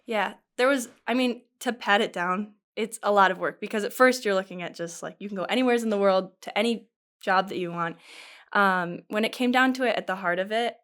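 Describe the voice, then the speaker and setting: Chatty young nursing student. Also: female voice, medium pitch, fairly quick, fairly bright tone. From western New York, USA. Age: young adult.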